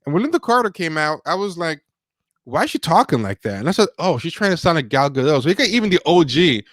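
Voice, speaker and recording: A man's voice: on the high side, big pitch swings, quick pace, a bit bright, no echo, clean studio sound. A man hosting a casual livestream, reviewing movies in a rambling, opinionated way with lots of fillers and restarts. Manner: reads viewer donations aloud. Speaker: podcast host.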